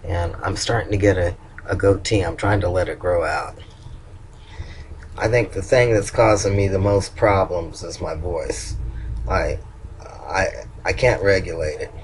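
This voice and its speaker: Older man with a very low voice. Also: steady tone, a little hiss or hum. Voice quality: low voice, nasal at times. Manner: halting, with frequent ums and restarts. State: hoarse. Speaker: vlogger. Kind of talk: casual personal video update.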